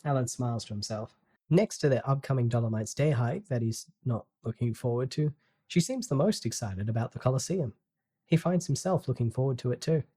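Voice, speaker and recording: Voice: medium pitch, fairly quick, mellow. A narrator reading a novel aloud as a scripted dramatic audiobook. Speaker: story narrator.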